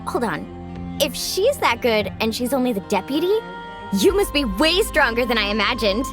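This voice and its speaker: A woman playing a perky, competitive young girl athlete in an anime game, eager and expressive. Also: on the high side, normal speed, over music. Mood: impressed, eager. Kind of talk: anime game character acting.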